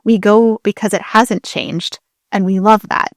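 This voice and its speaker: A woman's voice: mid-range voice, steady tone, unhurried, dry recording, studio quality. A woman co-hosting a podcast as a historian, explaining things in a casual, conversational manner. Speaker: podcast co-host.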